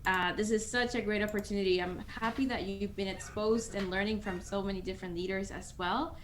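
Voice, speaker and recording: A woman's voice: mid-range voice, brisk, reverberant, a little hiss or hum. A young woman from the Dominican Republic, a startup co-founder answering interview questions with lots of energy. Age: young adult. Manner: energetic, lively.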